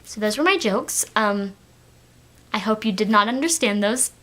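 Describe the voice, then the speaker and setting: A woman's voice: mid-range voice, animated, normal speed, fairly bright tone. A teenage girl from Texas with a light Texan accent, chatting to camera in a rambling vlog with lots of fillers and restarts. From Texas, United States. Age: teens. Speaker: vlogger. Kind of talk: casual talk to camera.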